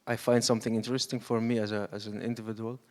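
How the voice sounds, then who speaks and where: Man from Syria, filmmaker, lowish even pitch, halting, searching for words, panel answer.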